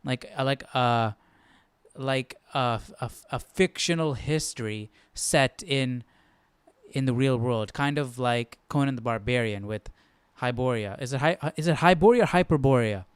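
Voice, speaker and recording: A man muses casually and rambles with lots of fillers, thinking aloud between readings. His voice is smooth and clear. The sound is dry and close, with no room echo. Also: medium pitch, unhurried. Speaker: Bible study livestream host. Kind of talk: casual musing commentary.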